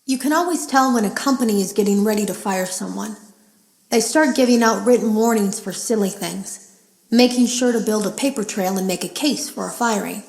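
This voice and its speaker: An actress playing an uneasy female game tester, confiding in a measured, serious way in scripted audio logs for a horror game. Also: mid-range voice, vocal fry, a little mellow, roomy sound, natural voice, rough recording. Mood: bitter, knowing.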